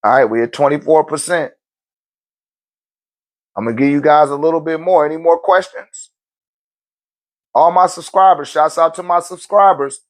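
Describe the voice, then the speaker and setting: Male live-stream host, slightly high voice, relaxed slangy chat with viewers. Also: moderate pace, pauses often. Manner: loose, conversational, slangy, laughs often. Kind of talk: casual live-stream talk answering viewer questions.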